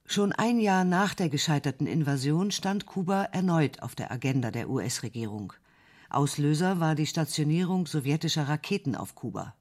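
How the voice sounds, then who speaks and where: A man with a somewhat high voice reads documentary narration. His reading is clear and composed, at an ordinary pace. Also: darkish tone.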